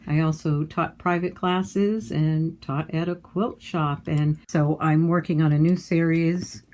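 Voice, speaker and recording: A woman who makes quilts gives a relaxed, chatty tour of her art studio. Her voice sits a little low. She talks easily and plainly about the space around her. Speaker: quilt artist. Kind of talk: casual studio tour video.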